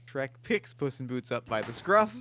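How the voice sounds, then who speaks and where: A man reading the stage directions in a table read, in a quiet, matter-of-fact way. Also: mid-range voice, very animated, moderate pace.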